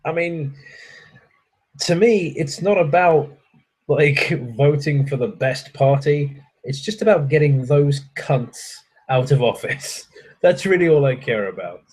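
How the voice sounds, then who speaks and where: A man's voice: a little high, animated, takes it slowly, darkish tone, slightly rough, low-quality recording. A young man, chatting casually with viewers on a stream, ranting and swearing with frequent laughs. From England.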